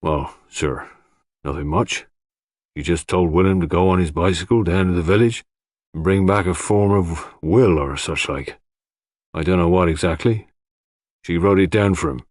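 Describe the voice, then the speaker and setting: A man voicing a slow, cautious, deferential older gardener who mumbles hesitantly in an audiobook story. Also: low voice, dry recording, studio quality.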